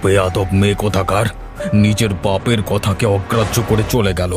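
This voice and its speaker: A man's voice: fairly low pitch, fairly even intonation, moderate pace, over music, close to an everyday voice. A man playing a stern, scheming middle-aged father and occultist in a horror cartoon, with a commanding, menacing manner. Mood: angry, scolding. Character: stern, scheming father who raises ghosts by occult practice. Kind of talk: Bengali horror cartoon voice acting.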